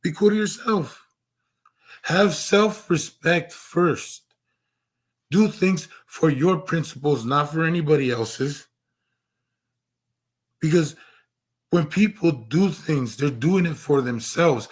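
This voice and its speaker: A hoarse man losing his voice, talking earnestly on a stream with lots of restarts and fillers. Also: fairly high pitch, slow pace, long pauses. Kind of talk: casual livestream monologue.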